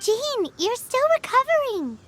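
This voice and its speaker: A woman voicing a small floating fairy girl in a video game, childlike and squeaky, caring and chatty in an earnest, expressive way. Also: a little slow, a little hiss or hum, heavily acted. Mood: worried. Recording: prominent background music.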